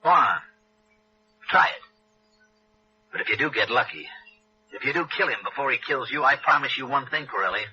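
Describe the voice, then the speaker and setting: A man's voice: medium pitch, lively intonation, moderate pace, long pauses, pushed and bright, slight background noise. A man playing a tough, commanding insurance investigator in a 1960s radio detective drama, blunt and clipped. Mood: threatening, hard. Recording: dull old radio broadcast, telephone-like.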